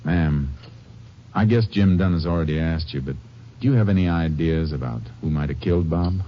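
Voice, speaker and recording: Texas Ranger lead, American, very low voice, courteous and understated, vintage radio drama on muffled recording. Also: male voice. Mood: solemn, gentle. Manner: measured, polite, understated. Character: steady, courteous male Texas Ranger investigator. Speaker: lead actor and first-person narrator. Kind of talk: 1950s radio drama acting.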